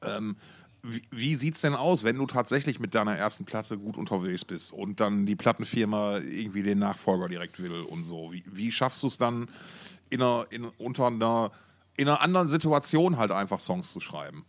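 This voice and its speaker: A man's voice: lowish voice, normal speed. A man co-hosting a music podcast in casual, slangy talk, often thinking aloud and repeating himself mid-phrase. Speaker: podcast co-host.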